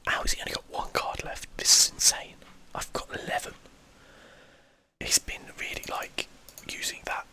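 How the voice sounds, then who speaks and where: A man's voice: moderate pace, pushed and bright. Whispering man doing ASMR game commentary. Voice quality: breathy, unvoiced whisper. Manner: musing to himself, thinking aloud. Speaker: gameplay streamer, host.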